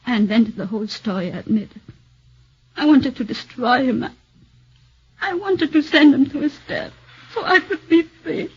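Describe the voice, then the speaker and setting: A woman's voice: high voice, normal speed, long pauses, darkish tone, husky, full character voice. An actress playing a scheming, vengeful woman in 1940s radio drama, brazen, emphatic and melodramatic. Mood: broken, confessing. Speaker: radio actor. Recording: dull 1940s radio recording.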